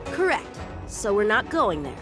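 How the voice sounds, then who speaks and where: A woman's voice: mid-range voice, sing-song, a little slow. An actress voicing an earnest, cocky young boy swordsman in a video game, speaking formally and confidently. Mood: smug, accusing. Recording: music behind the voice.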